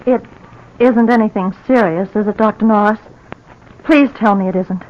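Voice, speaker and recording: An actress playing a gentle, anxious young wife in a 1940s radio drama, tender and pleading in manner. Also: female voice, medium pitch, normal speed, lightly acted. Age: young adult. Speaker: wife and patient. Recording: dull, lo-fi old radio recording.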